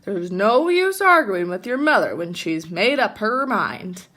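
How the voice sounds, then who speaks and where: Woman reading as blustery papa bear, animated delivery. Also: medium pitch, unhurried. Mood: agreeable. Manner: blustering, protesting. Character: blustery father bear fond of his junk food. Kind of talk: children's storybook read-aloud.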